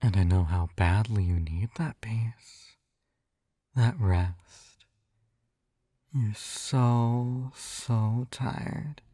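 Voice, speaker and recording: A man playing a possessive, smugly tender hypnotist captor in an intimate ASMR roleplay, coaxing and teasing in a soft hushed voice that drifts into whispers. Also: lowish voice, extremely slow, no room sound, clean studio sound. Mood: coaxing, sweet.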